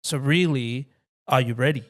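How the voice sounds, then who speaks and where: An Australian man, a financial planner guest, giving relaxed, down-to-earth interview answers with frequent restarts. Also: medium pitch, normal speed.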